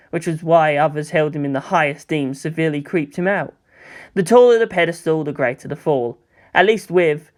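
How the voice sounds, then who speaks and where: A man reading scripted third-person fiction as the narrator of a story. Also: on the high side.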